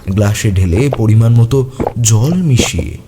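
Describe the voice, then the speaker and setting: A man's voice: lowish voice, unhurried, background noise, lightly acted. A man playing a young storyteller who narrates a grim ghost tale to friends in an audio drama. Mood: ominous, suspenseful. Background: background music.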